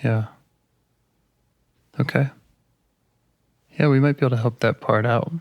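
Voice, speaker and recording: A man leading a live therapy session over a call, gentle and calm, pausing to reflect as he speaks. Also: mid-range voice, no echo, dull audio. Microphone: call audio.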